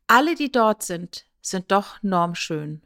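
A woman's voice: mid-range voice, big pitch swings, slow, a bit bright, no echo, clean studio sound. A woman discussing casually as a podcast co-host, making her points emphatically with rhetorical questions.

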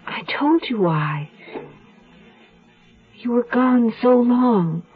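An actress playing a devoted, world-weary wife on the run, earnest and melancholy, in a 1970s radio drama. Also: female voice, fairly high pitch, lively intonation, extremely slow, breathy edge, narrow, tinny audio, exaggerated voice. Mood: pleading, tender. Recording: thin-band 1970s radio recording with music.

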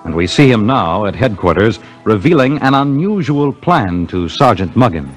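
A man's voice: on the low side, very animated, normal speed. A man narrating a 1950s radio mystery in a dramatic, formal, scripted style. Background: music.

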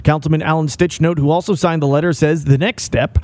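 A man's voice: a little high, fairly even intonation, fairly quick, a little mellow, dry recording. A man reading a scripted local news report as a radio reporter.

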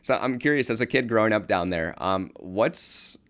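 A man's voice: on the low side, lively intonation, moderate pace, fairly bright tone. A man hosting a business podcast who reads a scripted intro and then asks interview questions in an upbeat way. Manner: upbeat, brief affirmations. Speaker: podcast host.

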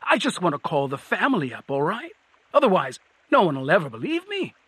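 A big stony troll from a storybook, dim and excitable. The voice jumps very high and swoops wildly, husky at the edges, gushing like a starstruck family man. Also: a little fast, no room sound, full character voice. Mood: overjoyed, excited. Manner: desperate, effusive, exclaiming.

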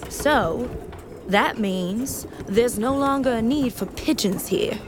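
A woman's voice: a little high, takes it slowly, background noise. A woman playing an exasperated, formal officer in an audio drama, articulate and wry with clipped politeness.

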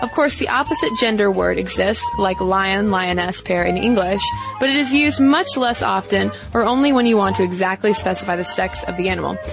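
A friendly woman co-host chats casually and reads a listener's letter, then moves briskly through the show's plugs. Her voice sits at a middle pitch, and music plays clearly beneath her. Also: low-quality recording. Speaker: podcast co-host. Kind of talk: casual podcast chat and reading mail.

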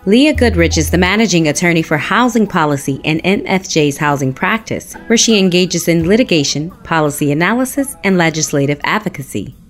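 Female announcer, slightly low voice, formal scripted intro over faint music. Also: fairly quick, hardly any pauses. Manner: even, formal read-through. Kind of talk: scripted introduction read aloud.

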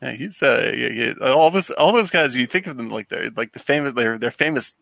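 Man, casual co-host chat, jokey, creaky voice, dry close sound. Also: mid-range voice, a little fast, a bit bright, dry recording. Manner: jokey, laughs often. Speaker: talk show co-host. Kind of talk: casual chat about old films and TV.

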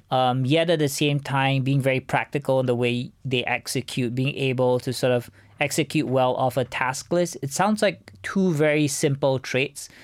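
A man answers interview questions in a measured, businesslike way. His voice sits at a medium pitch for a man, and the recording is close and dry, with very little room sound. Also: no echo. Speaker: venture capital fund co-founder, interview guest.

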